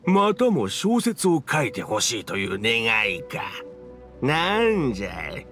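A man's voice: mid-range voice, huge pitch swings, takes it slowly, bright, forceful tone, soft background music, full character voice. An actor playing an ancient, mischievous spirit in an anime game, hamming up a villain with archaic old-man speech. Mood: sly, amused. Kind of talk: Japanese anime game voice acting.

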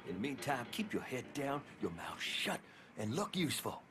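A man's voice: medium pitch, big pitch swings, normal speed, a bit bright, faint music behind. An actor playing a blunt, foul-mouthed male gang thug in a video game. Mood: irritated. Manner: blunt, swearing. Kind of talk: video game dialogue acting.

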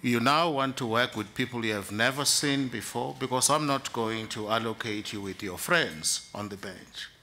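A man's voice: mid-range voice, normal speed, few pauses, sharp tone, a little hiss or hum. A man on an interview panel, asking direct, pointed questions.

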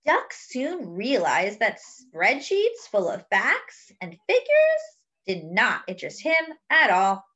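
Animated woman reading a picture book aloud. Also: medium pitch, slow pace, few pauses, lo-fi sound. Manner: expressive, explains words and pictures as if to young children. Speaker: host of a children's read-aloud.

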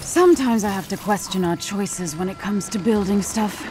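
A woman's voice: medium pitch, moderate pace, lightly acted. A woman playing a haughty, sardonic villainess in a video game, scornful and dry. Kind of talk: animated video game acting. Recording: noisy game sound effects behind.

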